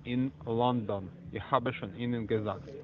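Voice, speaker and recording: A man's voice: mid-range voice, steady tone. Hesitant man on a tinny phone line. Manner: hesitant, halting, many fillers. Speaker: call center agent. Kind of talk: phone sales call.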